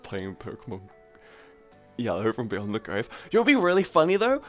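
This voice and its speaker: A woman's voice: a bass voice, huge pitch swings, fairly quick. A woman live streamer chatting casually with viewers in an informal, sweary, rambling way. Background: background music.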